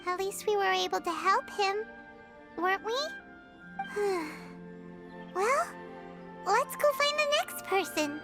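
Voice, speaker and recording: A woman voicing a tiny childlike fairy companion in a video game, squeaky, cutesy and earnest. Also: expressive, slow pace, long pauses, over music, exaggerated voice. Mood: encouraging. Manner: refers to self in third person, cutesy. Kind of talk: scripted video game voice acting.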